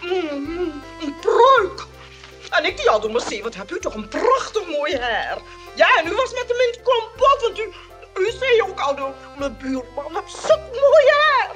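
An actress playing a chatty, excitable, easily scandalized miss in a Dutch radio play, gushing and fussy, full of exclamations. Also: female voice, very high-pitched, a little slow, faint music behind, heavily acted. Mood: shocked, indignant.

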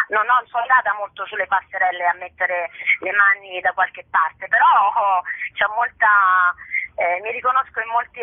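A woman's voice: medium pitch, dry recording. Effusive middle-aged woman over the phone. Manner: effusive, repeats phrases as she goes. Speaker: actress interviewed about a show. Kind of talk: spontaneous interview conversation. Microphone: telephone. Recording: band-limited, dry telephone line.

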